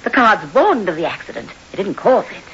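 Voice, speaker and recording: A woman's voice: mid-range voice, very animated, a little fast, creaky, a bit bright. A woman playing a composed, knowing lady tarot reader in an old radio drama. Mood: knowing, calm. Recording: muffled, noisy 1960s radio recording.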